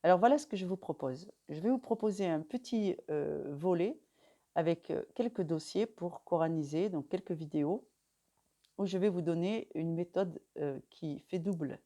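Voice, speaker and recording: Woman, middle-aged, lowish mellow voice, calm teacher pacing with frequent pauses, for a lesson read. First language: French. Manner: calm, measured, pausing often with fillers. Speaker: religious teacher. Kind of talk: instructional talk to camera.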